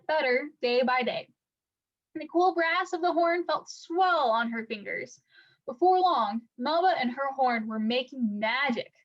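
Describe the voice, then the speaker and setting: A woman reading a picture book aloud for children like a teacher, with expressive, deliberate storytelling. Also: sits high, muffled recording.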